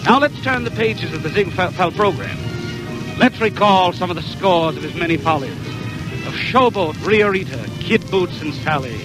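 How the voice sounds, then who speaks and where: A man's voice: high voice, expressive, normal speed, over music, low-quality recording. A man announcing a 1930s radio show in a theatrical, declamatory showman style, on an old broadcast recording.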